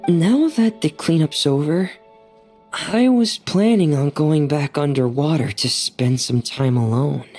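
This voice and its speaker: A woman voicing a shy, gentle, soft-spoken teenage boy in a game dub, speaking in a hesitant, earnest way. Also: low-pitched, a little slow, faint music behind. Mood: calm, sincere. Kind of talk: English anime game dub acting.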